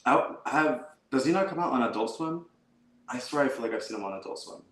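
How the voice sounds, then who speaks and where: A man's voice: medium pitch, animated, fast, fairly bright tone. A man giving casual commentary as a second voice on a reaction livestream. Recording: roomy, echoing livestream audio.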